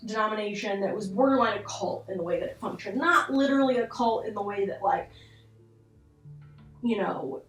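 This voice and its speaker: A woman's voice: medium pitch, normal speed, a bit bright. A young adult woman giving casual video commentary on horror, informal and sweary, with self-deprecating asides. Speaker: video essay host. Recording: roomy echo, distant mic, music underneath.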